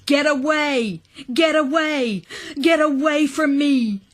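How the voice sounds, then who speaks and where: A woman's voice: medium pitch, expressive, unhurried. A woman voicing a frantic, cornered man in an audiobook, accusing and breathless, with a ragged edge. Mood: screaming rage. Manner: breathless, accusing, building to shouts. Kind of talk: audiobook character acting.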